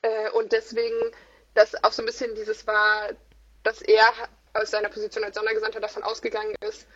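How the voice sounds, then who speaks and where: A German woman in a casual conversation, talking in an animated but hesitant way with many fillers. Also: mid-range voice, fairly quick. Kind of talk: conversational chat. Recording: dull, lo-fi sound.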